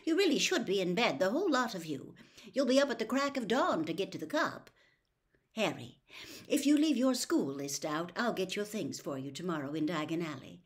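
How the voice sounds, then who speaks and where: A woman voicing a fussy, bossy, loving mother in a storybook reading, nagging briskly. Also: medium pitch, very animated, lightly acted.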